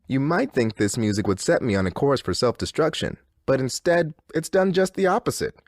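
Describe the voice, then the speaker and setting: A man's voice: medium pitch, very animated, brisk, no room sound, faint music behind. A man playing a blissful, eerily upbeat, cult-like radio DJ, soothing yet theatrical in his scripted patter. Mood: rapturous.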